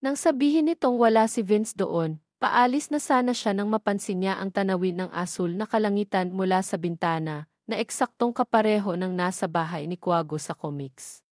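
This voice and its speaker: A woman reading a scripted audio story as its narrator, in a measured, read-out manner. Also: medium pitch, darkish tone, no room sound, clean studio sound.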